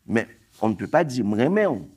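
A man's voice: medium pitch, big pitch swings, normal speed, a little mellow, breathy edge. A man in his sixties from Haiti, whose first language is Creole, speaking passionately as a poet on a round-table panel on a theatre stage. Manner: impassioned, gesturing, building to emphatic peaks.

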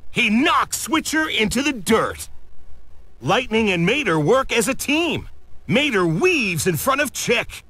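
A high-voiced man narrates a children's read-along storybook at an unhurried pace. His delivery is expressive and carefully enunciated, with lots of swing in pitch so that simple sentences stay easy to follow. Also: no echo.

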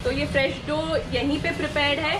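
A woman's voice: high voice, steady tone, a little fast, loud music behind the voice, poor audio. A woman in her thirties hosting a casual food vlog, chatting and mixing Hindi with English, recorded on a handheld camera at a food park and in a restaurant kitchen. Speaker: food vlogger. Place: outdoor food park at night, then small restaurant kitchen. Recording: loud background noise from traffic and crowd.

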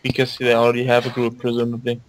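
A man's voice: medium pitch, completely flat delivery, fast, a little hiss or hum, rough recording. A young man chatting casually and wryly while playing an online game on a voice call. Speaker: gamer on a voice call. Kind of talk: casual chat while gaming.

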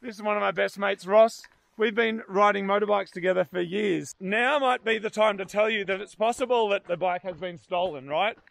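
High-pitched, creaky-voiced Australian man. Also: moderate pace, few pauses, a bit bright. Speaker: vlogger hosting an outdoor rescue video. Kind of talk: casual vlog chat to camera. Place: outdoors in bushland. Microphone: handheld camera at arm's length.

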